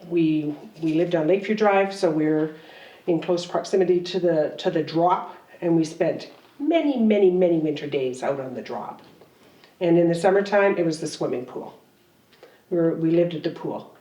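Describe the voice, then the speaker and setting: A woman's voice: lowish voice, lively intonation, moderate pace, dark tone, muffled recording. A woman in her sixties from Ontario, Canada, recalling childhood and town life in an easygoing way. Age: 60s. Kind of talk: documentary interview. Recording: roomy sound from a distant mic.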